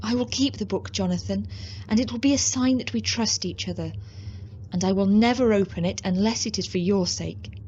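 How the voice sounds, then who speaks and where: A woman playing a devoted, anxious young Victorian lady in a radio drama, speaking with gentle, earnest propriety. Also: medium pitch, moderate pace, lightly acted. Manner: tender, earnest. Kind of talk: radio drama acting and narration. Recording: dull lo-fi audio, faint noise.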